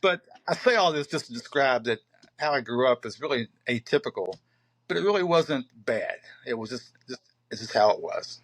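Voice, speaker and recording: A man in his sixties from southern West Virginia, a poet being interviewed, telling stories in an unhurried, reflective way with many pauses and fillers. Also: medium pitch, animated, a bit bright, no room sound, low-quality recording. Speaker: poet and photographer guest. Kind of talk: relaxed interview talk.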